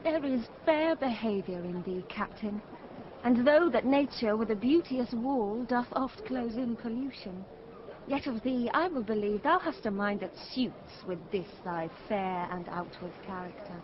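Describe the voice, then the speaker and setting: An actress playing an earnest, resolute young shipwrecked gentlewoman, speaking formal verse in a Shakespeare radio drama. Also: a little high, animated, a little slow, a crowd in the background. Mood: earnest resolve. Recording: muffled, faint ambience behind.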